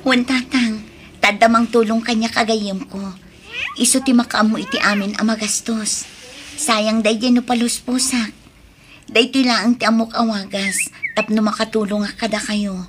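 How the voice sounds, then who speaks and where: Radio drama elder sister played by a woman: higher-pitched, earnest, reassuring, Ilocano Filipino accent, clearly noisy recording. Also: steady tone, moderate pace, natural voice. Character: responsible, caring elder sister working abroad for her family.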